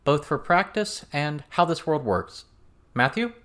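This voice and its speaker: A man's voice: fairly high pitch, expressive, measured pace, fairly bright tone, voice pushed up. A man voicing a serious, blunt teenage girl with a firm, questioning manner in an audiobook. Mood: firm, businesslike.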